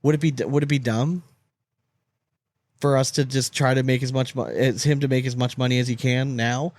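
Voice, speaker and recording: A man's voice: mid-range voice, fairly even intonation, a little fast, a little mellow, dry recording. A man co-hosting a casual sports podcast, talking in a rambling way and repeating phrases for emphasis. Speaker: podcast co-host.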